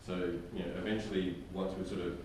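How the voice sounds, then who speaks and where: A man with a deep voice explains things casually and quickly, hedging as he goes. The sound is very echoey and noisy, caught from across the room. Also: little pitch movement, a little mellow. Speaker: scriptwriter. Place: reverberant room with a group. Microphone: distant room microphone. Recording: echoey room, far mic, noisy background.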